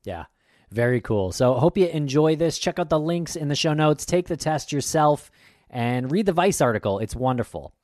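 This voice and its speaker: A man's voice: on the high side, expressive, moderate pace, a little mellow, no room sound, clean studio sound. A man co-hosting a podcast, talking in an easygoing way with frequent fillers. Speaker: podcast co-host. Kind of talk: casual podcast chat.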